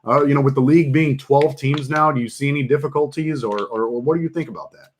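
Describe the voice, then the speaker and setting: A man with a deep voice, casually hosting a fantasy football draft stream, joking and rambling with lots of fillers. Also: fast, slight background noise. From Texas. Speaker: fantasy football league host. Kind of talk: casual live stream chat.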